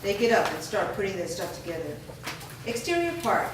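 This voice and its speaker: A woman reading the stage directions aloud in a staged play reading, in an even, matter-of-fact way. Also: medium pitch, a little fast. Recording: echoey room, far mic, hiss.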